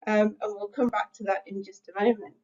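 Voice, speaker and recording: A woman's voice: medium pitch, level tone, a little fast, a little mellow, highs cut off. A middle-aged woman, giving an online lecture to teachers, reflective and conversational with frequent filler sounds. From England. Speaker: teacher-training speaker. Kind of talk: webinar lecture.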